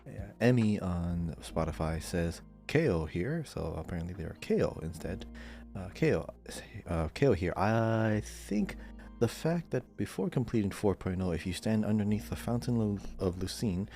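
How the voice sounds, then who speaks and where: A man with a low-pitched voice co-hosts a gaming podcast. He talks in an informal, chatty way, and faint music plays underneath. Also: expressive, moderate pace, mellow. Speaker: podcast co-host. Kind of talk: casual gaming podcast chat.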